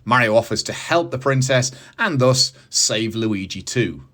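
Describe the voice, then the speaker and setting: A man's voice: mid-range voice, expressive, unhurried, fairly bright tone. A middle-aged man with a British accent, presenting a scripted review with casual asides and emphatic, enthusiastic phrasing. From United Kingdom. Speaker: host of a movie review channel.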